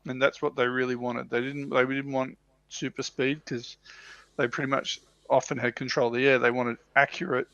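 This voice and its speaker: Gravelly man on a dull, dry mic. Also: mid-range voice, flat delivery, normal speed, fairly bright tone, dry recording. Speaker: livestream co-host. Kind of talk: casual hobby chat.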